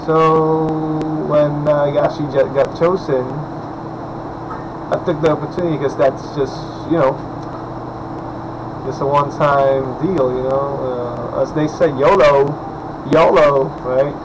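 A young man from the Philippines, hoarse from just waking up, rambling casually to his webcam at home with lots of fillers. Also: a little high, fairly even intonation, unhurried, background noise. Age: young adult. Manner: rambling, chatty, many fillers and restarts. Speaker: vlogger. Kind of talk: casual vlog talk to camera. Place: indoors at home, small room. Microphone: webcam at desk distance.

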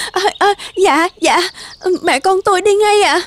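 A woman voicing a gentle, pregnant mother deer in a cartoon, pleading and tearful. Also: extremely high pitch, slow pace, slight background noise, heavily acted. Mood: grateful. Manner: tearful, begging. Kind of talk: cartoon voice acting. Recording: music behind.

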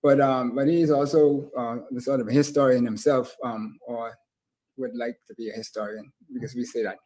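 A middle-aged Bahamian man hosts a talk show over a video call. He has a mid-pitched voice and rambles casually toward his questions in loose phrases. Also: level tone, normal speed, a little mellow, poor audio. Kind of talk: casual interview hosting. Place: indoor room with bookshelves and screen, on a video call.

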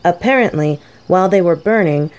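A gentle female professor, played by a woman in an audio roleplay. Her voice sits slightly low, with a warm, relaxed tone. She is scholarly and thoughtful, choosing formal words. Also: fairly quick, close to an everyday voice. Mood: measured, documentary. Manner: soft-spoken, thoughtful, formal wording.

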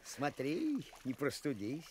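Sly, animated young village lad. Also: male voice, medium pitch, slow, background noise. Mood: coaxing. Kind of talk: Soviet radio play acting.

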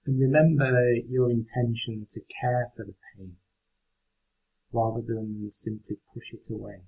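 A man guides a meditation with gentle, unhurried instruction. His voice is mellow and relaxed, with a husky, breathy edge and an average male pitch. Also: low-quality recording.

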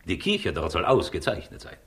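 An actor playing a cynical, greedy Soviet agent in a 1960s radio crime play, smug and lecturing. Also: male voice, deep voice, wildly swooping pitch, moderate pace. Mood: smug, boastful. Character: cynical, scheming Soviet agent, male, greedy and self-assured. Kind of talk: 1960s German-language radio crime drama acting.

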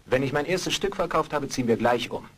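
An actor playing an affectionate young husband in a German horror audio drama. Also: male voice, medium pitch, lively intonation, fairly quick, close to an everyday voice. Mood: reassuring. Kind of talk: German horror radio play acting.

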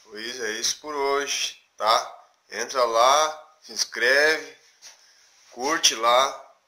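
Young man with a bright voice. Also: medium pitch, fairly even intonation, drawn-out words. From Brazil. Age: young adult. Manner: plain, unpolished, a bit shy. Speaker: home cook vlogger. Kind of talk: casual cooking vlog. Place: small home kitchen. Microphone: phone camera, arm's length and set on the counter.

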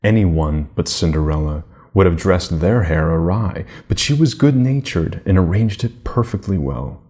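A middle-aged man narrating a children's fairy tale in a measured, deliberate manner. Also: deep voice, lively intonation, darkish tone, slightly rough. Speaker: storybook reader.

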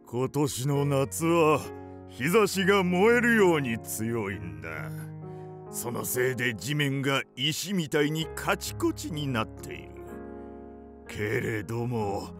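Pompous wealthy mole, slow, swooping intonation, formal, radio drama, music behind. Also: male voice, mid-range voice, big pitch swings. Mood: self-satisfied. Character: stuffy rich mole gentleman, self-assured suitor.

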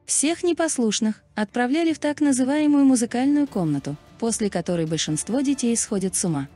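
A woman's voice: fairly high pitch, expressive, fairly quick, dark tone. A woman reading a scripted explainer narration as a narrator. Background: background music.

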